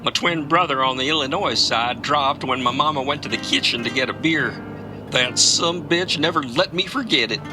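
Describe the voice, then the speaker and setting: Actor as a folksy, world-weary trucker. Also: male voice, mid-range voice, normal speed, music in the background, lightly acted. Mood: wry reminiscing. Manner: rustic, profane, drawling storyteller. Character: folksy Kentucky trucker, world-weary man haunted by his past. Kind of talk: audio drama dialogue.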